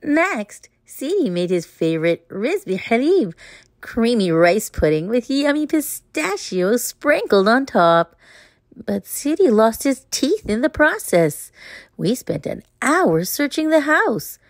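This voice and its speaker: A woman reading a children's picture book aloud, narrating as the story's child with expressive, deliberate phrasing. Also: fairly high pitch, dry recording, studio quality. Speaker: storybook reader.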